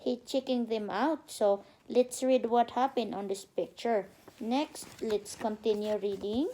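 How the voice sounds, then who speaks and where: A woman's voice: fairly high pitch, unhurried, dark tone, a little hiss or hum, low-quality recording. A woman, a mother reading a bedtime story aloud and talking a small child through it with instructive prompts. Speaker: mother reading to her toddler. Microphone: phone camera.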